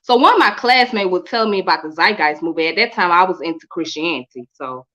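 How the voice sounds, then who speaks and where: Low-voiced woman telling her story. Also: quick pace, highs cut off. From Midwest-born, raised in Atlanta. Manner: repeats herself, relaxed, colloquial. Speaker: guest. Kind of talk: casual interview storytelling.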